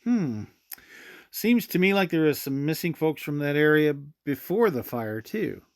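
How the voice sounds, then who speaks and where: A man's voice: on the high side, expressive, unhurried, no room sound, clean studio sound. An actor playing an easygoing male park ranger in an audio drama, talking in a conversational, somewhat hesitant way. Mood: curious.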